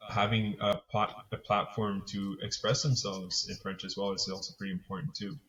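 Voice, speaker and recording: A man from Montreal speaks as a podcast guest in an easy, fluent way, with casual fillers. His voice is very deep and stays flat in pitch. The recording sounds somewhat dull and lo-fi. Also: normal speed, husky. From Montreal, Quebec. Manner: fluent, casual, uses fillers like "I guess" and "right". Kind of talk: casual interview.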